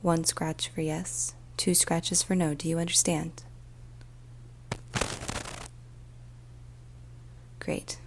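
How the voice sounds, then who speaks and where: Woman acting as weary female emergency dispatcher, soft half-whisper, gravelly fry, restrained intonation, ASMR story. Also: low voice, flat delivery. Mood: calm, reassuring. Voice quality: soft, breathy, often whispered. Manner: slow, hushed, deliberate, with pauses. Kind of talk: ASMR storytelling, first-person dramatic monologue.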